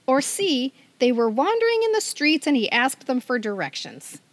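A middle-aged woman with a high voice co-hosts a casual podcast. She plays quizmaster in an animated, joking way, keeping the delivery playful and conversational. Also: normal speed.

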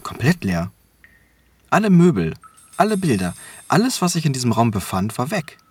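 An actor in a German audio drama playing a grave, guilt-ridden middle-aged man who tells his story in halting, ominous phrases. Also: medium pitch, wildly swooping pitch, slightly rough, dry recording, exaggerated voice. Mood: haunted, dramatic.

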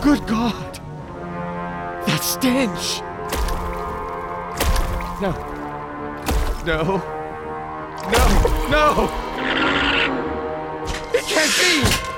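A man's voice: very high-pitched, sing-song, extremely slow, long pauses, loud music behind the voice, full character voice. An actor playing an alarmed, disbelieving man in a horror radio drama, reasoning with a madman. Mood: horrified.

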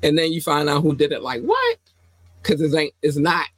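A woman co-hosting a casual podcast, talking in an animated, playful way, with slang and phrases she repeats for effect. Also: low voice, moderate pace, no echo. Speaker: podcast co-host.

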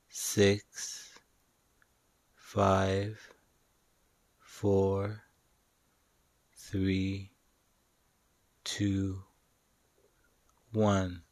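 Slow, even-toned man guiding a meditation. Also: fairly low pitch, no room sound. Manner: calm, soothing, instructional, with long pauses. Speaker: meditation guide and show host. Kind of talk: guided meditation.

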